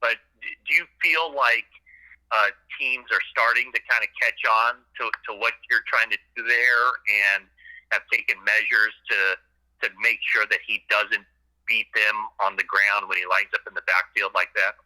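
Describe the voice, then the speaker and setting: A man's voice: on the low side, fairly even intonation, moderate pace, pushed and bright. A man, a sports reporter, hesitantly asking a question on a press call with repeated words, over a thin phone line.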